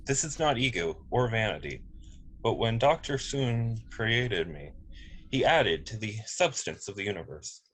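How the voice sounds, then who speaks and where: A man's voice: on the low side, sing-song, measured pace, fairly bright tone. Man acting a formal android officer, noisy recording. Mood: quietly resolute. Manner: formal, precise wording. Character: polite, logical male android officer, calmly dignified. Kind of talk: science fiction script table read. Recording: clear background noise, room ambience.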